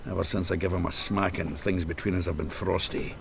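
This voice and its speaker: A gruff, earthy fantasy game rogue. His voice is low and full of gravel. He talks bluntly in colourful slang with a short temper, over faint background noise. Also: fairly even intonation, a little fast. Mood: blunt, scheming. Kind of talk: video game voice acting.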